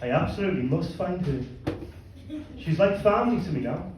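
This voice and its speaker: A man with a fairly high voice works a comedy club crowd, mixing crude deadpan punchlines with halting, stumbling asides. He sounds distant and echoey, picked up from across a reverberant room. Audience laughter and room noise sit behind him. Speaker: stand-up comedian. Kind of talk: stand-up comedy set.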